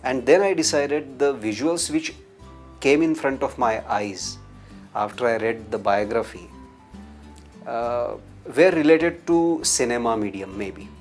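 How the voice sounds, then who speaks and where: A man, a filmmaker, slowly and thoughtfully recalling how he came to make his first feature film. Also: mid-range voice, soft background music. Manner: slow, reflective. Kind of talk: interview testimony.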